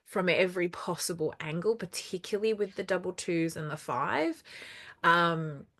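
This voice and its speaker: A woman's voice: fairly low pitch, expressive, moderate pace, fairly bright tone. A woman hosting her own numerology channel, talking casually and earnestly, repeating words for emphasis, with a lingering cough after throat trouble. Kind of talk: casual solo talk to camera.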